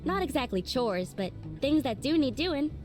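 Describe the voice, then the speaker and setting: Brisk young cowgirl for a game; casual, folksy, notably high voice. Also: very animated, music in the background. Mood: busy. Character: busy young female ranch hand, brisk.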